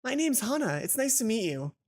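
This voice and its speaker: A woman's voice: on the high side, big pitch swings, brisk, darkish tone, voice pushed up. A woman voicing an eager but nervous teenage schoolgirl in a visual novel, hesitant and polite. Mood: nervous, friendly.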